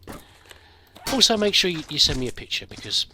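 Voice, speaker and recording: Brief: middle-aged British man, bright voice, rambling game-commentary storyteller, faint hiss behind. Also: fairly high pitch, sing-song, fairly quick. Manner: rambling, self-deprecating, hesitant restarts. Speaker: gameplay commentator. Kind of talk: casual storytelling over gameplay.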